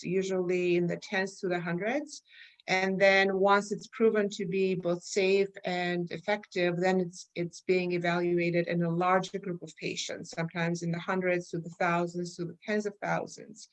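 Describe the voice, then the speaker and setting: A middle-aged woman speaks with a light Armenian accent in a fairly low voice. She explains things carefully and at an even pace, and often pauses on fillers while she finds the next word. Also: poor audio. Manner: measured, explanatory, many fillers. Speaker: guest, research company chair. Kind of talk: interview answers.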